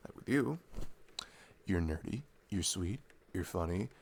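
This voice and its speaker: A man acts out a sweet college-age boyfriend in an intimate ASMR roleplay. His voice sits very low with a gravelly creak. He rambles in a casual, halting way, introverted but openly affectionate. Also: animated, a little mellow, a little hiss or hum, exaggerated voice. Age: young adult. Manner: rambling, halting, chuckling asides.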